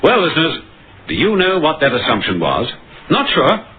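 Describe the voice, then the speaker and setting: An actor playing a stern, probing police inspector in an old radio crime drama, with clipped, theatrical delivery. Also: male voice, fairly high pitch, wildly swooping pitch, moderate pace, breathy edge, full character voice. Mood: confident, explaining. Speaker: lead actor and narrator. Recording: muffled old radio broadcast with music.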